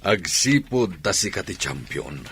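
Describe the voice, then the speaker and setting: A man's voice: low-pitched, big pitch swings, measured pace, fairly bright tone, exaggerated voice. A man with an Ilocano accent playing a proud, encouraging sports official in a radio drama. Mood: reassuring. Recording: crowd noise behind the dialogue.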